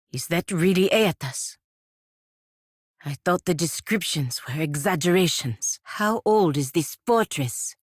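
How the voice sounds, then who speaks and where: An actress playing a proud, sardonic fantasy warrior-diplomat in a video game, speaking formally and with dry scorn. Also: female voice, deep voice, no echo. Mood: grave, wondering. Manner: formal, clipped, dryly scornful. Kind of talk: video game character dialogue.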